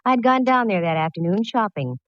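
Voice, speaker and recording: A woman's voice: medium pitch, expressive, fairly quick, no room sound, lightly acted. An actress playing a breezy, wisecracking female private eye in 1940s radio style. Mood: wry narration. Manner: wry, flip. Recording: muffled, faintly hissy old radio recording.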